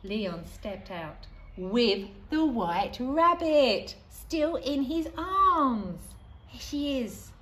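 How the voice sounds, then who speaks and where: A middle-aged woman reading a children's storybook aloud expressively, with deliberate, dramatic pauses. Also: sits high, sing-song, fairly bright tone. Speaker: primary school staff member reading aloud. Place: outdoors in a leafy school playground. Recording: faint outdoor ambient noise.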